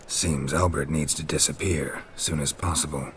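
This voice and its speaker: Gruff weary monster hunter from a game, really low voice, deadpan and terse, bit of background noise. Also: male voice, moderate pace. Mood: blunt, probing. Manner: terse, deadpan, clipped sentences. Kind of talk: video game dialogue acting.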